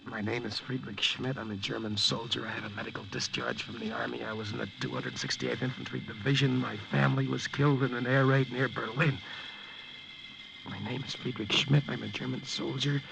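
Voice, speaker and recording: Anxious undercover agent, creaky voice. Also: male voice, mid-range voice, brisk, background noise. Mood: tense recitation. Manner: repetitive, intense self-rehearsal. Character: anxious American agent posing as a German soldier. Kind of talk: 1950s radio drama monologue. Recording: vintage radio, music behind.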